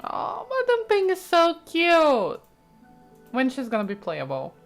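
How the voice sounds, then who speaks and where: A woman's voice: sits high, huge pitch swings, a little slow, vocal fry, soft background music. A woman giving casual, reactive let's play commentary as a gaming streamer.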